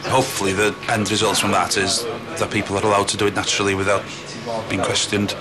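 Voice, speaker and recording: A man's voice: on the low side, little pitch movement, fast, music in the background, poor audio. A man in his thirties from Wales, a native Welsh speaker and musician, talking plainly in a pub. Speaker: band musician.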